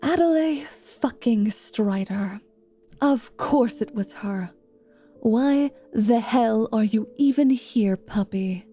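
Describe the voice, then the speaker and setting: A woman voicing a haughty, threatening half-vampire in a fantasy ASMR roleplay, with a sardonic, sharp-tongued manner. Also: on the high side, slow, soft background music. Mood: irritated, menacing.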